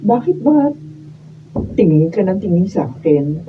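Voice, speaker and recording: A woman's voice: on the low side, big pitch swings, a little slow. A woman with a Filipino accent acting a neglected, unhappy wife falling for a friend in a radio drama. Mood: aggrieved, chatty. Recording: dull raw video, distant mic, faint noise.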